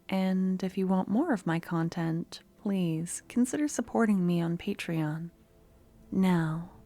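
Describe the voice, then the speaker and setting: A woman ASMR creator opens her video in a gentle, partly whispered voice. She speaks slowly, and her tone is mellow. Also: lowish voice. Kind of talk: ASMR channel intro.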